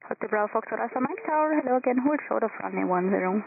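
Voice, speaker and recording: Rapid-fire air traffic controller over crackly radio. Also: medium pitch, expressive, gravelly. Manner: procedural, reciting instructions and numbers. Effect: aviation radio. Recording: narrow crackly airband radio, faint static.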